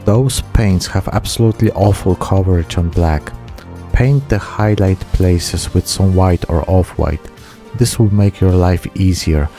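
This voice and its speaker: A man's voice: low-pitched, a little slow, mellow, faint music behind. A man in his thirties narrating a miniature painting vlog as the channel's host.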